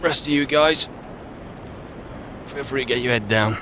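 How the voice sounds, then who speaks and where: A man's voice: mid-range voice, measured pace. A man doing in-character roleplay commentary for a video game as a wary adventuring wizard, musing to himself in short phrases. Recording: clear background noise, game ambience.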